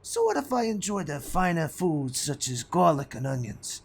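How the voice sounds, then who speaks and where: High-voiced, blustering mob boss. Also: male voice, big pitch swings, moderate pace, vocal fry, husky, full character voice. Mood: indignant. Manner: wisecracking, blustering. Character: blustering Italian-American mob boss, food-obsessed, touchy. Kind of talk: comedic audio drama acting.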